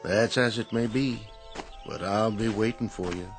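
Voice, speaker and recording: An actor playing a young husband in a radio drama, speaking calmly, tenderly and soothingly. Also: male voice, fairly low pitch, soft background music. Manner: calm, tender, slow and soothing. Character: gentle young husband, soldier's calm.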